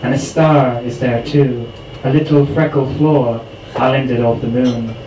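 A man from Ireland reciting his own poem at an open mic in a slow, even recitation. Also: mid-range voice. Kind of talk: spoken word poetry reading. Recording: echoey room, distant mic, clear noise.

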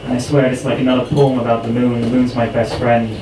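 A man from Ireland recites his own poem in a slow, steady way, his pitch staying flat and level. He is picked up from some distance in an echoey room, with clear background noise around him. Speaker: poet at open mic. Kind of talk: spoken word poetry reading.

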